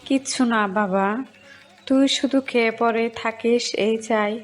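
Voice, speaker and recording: A woman's voice: fairly high pitch, a little slow, lightly acted. A woman voicing a poor, patient, loving village mother in a cartoon, humble and pleading. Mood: tender. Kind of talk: cartoon dialogue.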